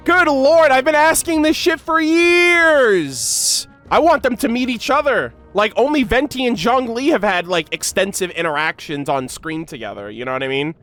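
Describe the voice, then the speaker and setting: A young man giving casual, slangy reaction commentary on a game, with a playful, excitable manner. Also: very high voice.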